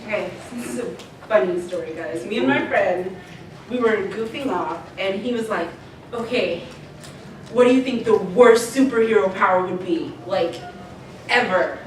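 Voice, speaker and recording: A woman's voice: medium pitch, moderate pace. A woman hosting an informal convention panel as the creator of a podcast. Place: indoor convention panel room. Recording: echoey, noisy convention room, distant mic.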